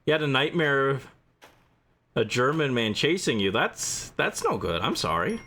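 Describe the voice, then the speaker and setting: A man's voice: medium pitch, very animated, moderate pace, bright, forceful tone, a little hiss or hum. A man hosting a gaming livestream, chatting casually and playfully as he responds to his viewers. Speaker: livestream host.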